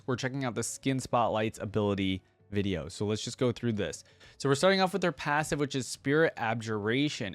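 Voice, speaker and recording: A man's voice: medium pitch, no echo. A man giving casual reaction commentary, chatty and curious, thinking aloud as he goes. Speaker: reaction video host.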